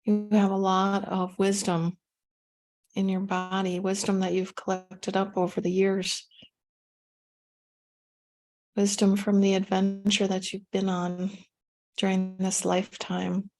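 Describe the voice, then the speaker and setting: Mellow-voiced woman guiding a meditation. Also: medium pitch, monotone, low-quality recording. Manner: gentle, unhurried instruction with pauses. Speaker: meditation guide. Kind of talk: guided meditation.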